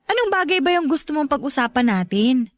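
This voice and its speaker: An actress playing a defensive, shy, lovelorn young woman in a Tagalog radio drama, emotive and quick to deny. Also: sits high, very animated, no echo. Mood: evasive.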